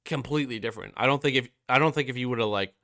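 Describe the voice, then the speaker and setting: A man's voice: medium pitch, racing, sharp tone, no room sound, clean studio sound. A young man with a stuffy, nasal voice from being sick, casually reviewing a metal album with lots of fillers. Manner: rambling, many fillers and swearing. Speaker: music reviewer.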